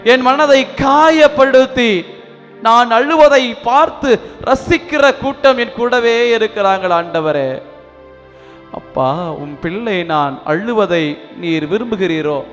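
High-voiced man praying fervently over music. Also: slow. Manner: fervent, pleading, rising and falling between loud appeals and hushed sorrow. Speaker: priest leading prayer. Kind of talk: spoken intercessory prayer. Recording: long reverb with faint music behind.

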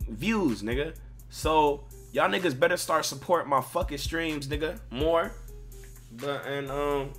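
A man with an average-pitched voice talks like a streamer addressing his viewers. He is casual and very slangy, swearing constantly and ranting with emphasis. Music plays behind him. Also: fairly bright tone. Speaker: gaming video creator and streamer. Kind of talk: casual profane video commentary.

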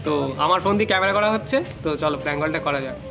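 Male vlogger, twenties. High-pitched, rapid, informal prank-call chat, music underneath. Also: highs cut off. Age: 20s. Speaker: prank-call video host. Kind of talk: casual vlog chat and prank calling. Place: outdoors beside a wall and metal shutter.

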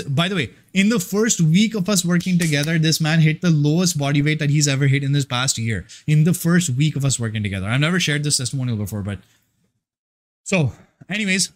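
A man who works as a fitness and life coach, giving a casual motivational monologue in a rambling, profane and emphatic way. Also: on the high side.

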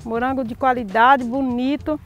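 A woman's voice: sits high, steady tone, moderate pace, faint music behind. A woman farmer answering questions in a field in an earnest, simple way. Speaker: organic strawberry farmer. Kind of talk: casual interview answers. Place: outdoors in a strawberry field.

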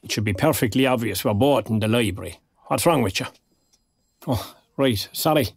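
A gravelly older Irishman. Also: mid-range voice, lively intonation, normal speed, no room sound, clean studio sound. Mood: dry, amused. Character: older retired man, dry, proud, kindly. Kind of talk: naturalistic audio drama dialogue.

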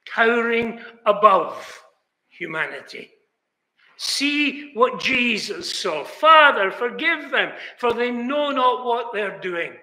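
A man's voice: sits high, pushed and bright. An older British man preaching a sermon in a chapel, earnest, rising now and then to exclamations. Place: chapel hall, indoors. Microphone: fixed camera, seated mid shot. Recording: echoey chapel room.

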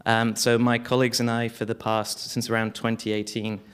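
A man's voice: medium pitch, completely flat delivery, moderate pace, clear, resonant tone. A young man, a community campaigner from London, speaking on a panel in a small hall with a handheld mic, hesitant with many restarts. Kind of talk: panel discussion.

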